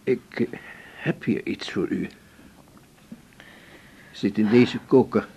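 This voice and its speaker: An actor playing a courteous, composed older male patient in a radio drama, speaking gently and haltingly. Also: vocal fry. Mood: gentle, grateful. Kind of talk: 1980s Dutch radio drama acting. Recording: faint rumbling background noise.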